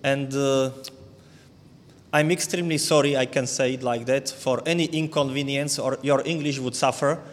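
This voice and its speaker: A man with a Slovak accent, Slovak his first language, speaking haltingly with many fillers and restarts as a visiting preacher addressing a church. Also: mid-range voice, steady tone. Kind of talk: church talk. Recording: long hall reverb.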